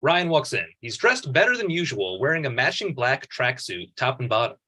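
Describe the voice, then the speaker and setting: A man's voice: medium pitch, big pitch swings, normal speed, sharp tone. A man reading out screenplay stage directions as scripted narration at a table read.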